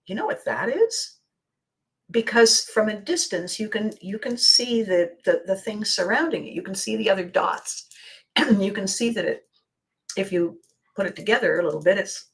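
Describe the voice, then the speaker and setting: A woman with a slightly hoarse, scratchy voice hosting a casual motivational monologue, speaking expressively and with emphasis to her viewers. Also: mid-range voice. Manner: expressive, acting out bits of dialogue. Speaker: vlog host.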